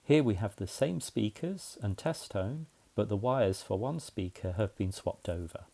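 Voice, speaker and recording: A man's voice: lowish voice, expressive, a little slow, vocal fry, warm tone. A man narrating a scripted explainer in a methodical, plain-spoken way, as a tutorial presenter.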